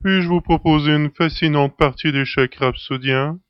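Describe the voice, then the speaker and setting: A formal, servile male butler from a space audio drama played by an actor. His voice is very smooth and polite to the point of ceremony. The sound is dry and slightly muffled. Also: a little high, steady tone, normal speed, dry recording, natural voice. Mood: deferential. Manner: deferential, ceremonious. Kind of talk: French science-fiction audio drama acting.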